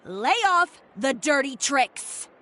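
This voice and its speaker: An actress playing a composed, proud dressmaker who talks calmly with dry, cutting sarcasm. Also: female voice, high-pitched, slow pace, a little hiss or hum, exaggerated voice.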